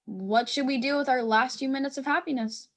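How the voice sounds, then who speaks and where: Woman playing a high-voiced, fretful schoolgirl. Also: fairly even intonation, a little fast, lightly acted. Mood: dramatic, restless. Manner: fretful, dramatic. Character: anxious, dramatic schoolgirl dreading punishment. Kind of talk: staged play reading.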